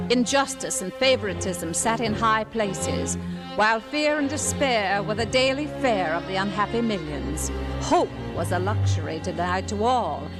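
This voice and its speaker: A woman giving grave, deliberate, poetic narration in the style of old-time radio drama. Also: mid-range voice, lightly acted. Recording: old radio recording under loud music.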